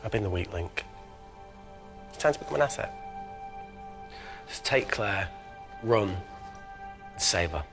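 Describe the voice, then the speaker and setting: A man's voice: lowish voice, expressive, slow pace, pauses often. An actor playing a wry, self-sacrificing infected man in a live-action game drama, with music behind him. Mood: grim resolve. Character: wry, self-sacrificing infected male lab colleague in desperate escape. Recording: background music behind the dialogue.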